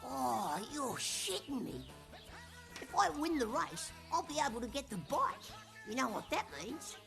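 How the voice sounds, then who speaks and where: A woman's voice: sits high, measured pace, gravelly, over music, heavily acted. A woman playing a cheeky, blunt, foul-mouthed young boy with an Australian accent in a film comedy-drama. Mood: keen. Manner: deadpan, frank, innocent.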